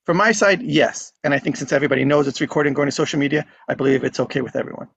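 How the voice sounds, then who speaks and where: Higher-pitched man, gravelly and casual. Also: very fast, fairly bright tone, highs cut off. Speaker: host of online script table readings. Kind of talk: casual group chat.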